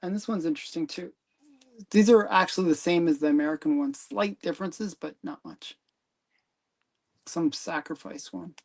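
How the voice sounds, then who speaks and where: A man's voice: on the high side, moderate pace, vocal fry, a little mellow. A man hosting a casual livestream chat, talking hesitantly with frequent ums and trailing sentences. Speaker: comic collector host.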